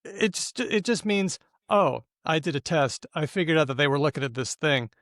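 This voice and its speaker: A man's voice: a little high, expressive, normal speed, a bit bright, no echo, clean studio sound. A man co-hosting a language podcast in casual chat. He is enthusiastic, laughs often and lets his voice jump upward. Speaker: podcast co-host.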